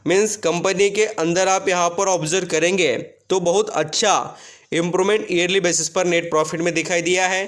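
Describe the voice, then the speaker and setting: Male finance video host, high-pitched voice, explanatory commentary delivery, heavy use of English terms and figures. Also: fairly even intonation, normal speed, a bit bright. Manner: Hindi heavily mixed with English terms and numbers. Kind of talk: explanatory stock results commentary to viewers.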